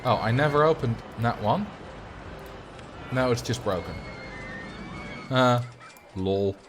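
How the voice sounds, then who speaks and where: A young man with a Dutch accent chatting casually on a livestream, relaxed and rambling as he answers chat while playing a building game. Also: medium pitch, slow pace, background noise. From Netherlands. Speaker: streamer.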